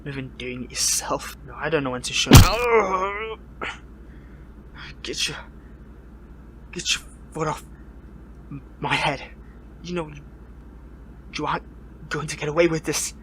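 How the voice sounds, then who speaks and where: A man playing a bitter, defiant captured superhero in an audio roleplay, speaking in a strained, halting, taunting way. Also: a little high, fairly even intonation, pushed and bright, slight background noise. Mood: angry, struggling. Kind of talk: dramatic audio roleplay monologue.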